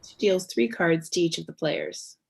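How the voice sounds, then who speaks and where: Lowish-voiced woman reading stage directions. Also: wildly swooping pitch, normal speed, gravelly, slightly rough. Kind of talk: scripted narration of a play. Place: at home on a video call.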